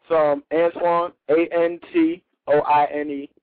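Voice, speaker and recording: A man's voice: fairly high pitch, little pitch movement, unhurried. Hyped male radio host on a tinny line. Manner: loose, hype slang, calls out shout-outs. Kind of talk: casual call-in radio chat.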